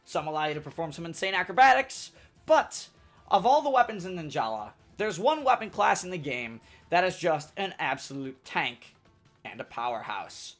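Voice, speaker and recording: A young man narrates a scripted weapon guide for his channel, loud and full of energy. His pitch jumps around in a lively way, while soft music plays behind him. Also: normal speed. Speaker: gaming YouTuber giving a weapon guide. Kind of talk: scripted game tutorial narration.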